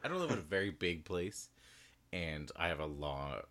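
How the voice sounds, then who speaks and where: Deep-voiced man, laughing as he chats. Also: normal speed. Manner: animated, laughs often mid-sentence. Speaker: podcast co-host. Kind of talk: casual chat about a hobby. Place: small bedroom with the door shut.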